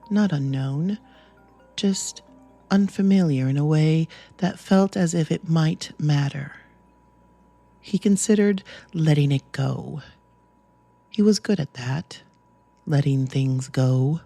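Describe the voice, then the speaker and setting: A podcast host narrating a scripted, reflective audio story in a measured way. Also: medium pitch, dark tone, no echo.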